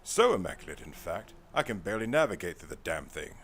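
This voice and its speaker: A man's voice: deep voice, very animated, fast talker, fairly bright tone, a little hiss or hum, heavily acted. A man playing a formal, dry, weary older private investigator in an audio drama, speaking in precise, wordy sentences. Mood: wry. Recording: music behind the voice.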